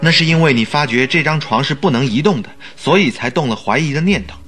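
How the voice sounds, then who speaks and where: A man playing a detective's excitable, earnest and eager companion in a radio drama. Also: fairly high pitch, fast talker, faint music behind. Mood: curious.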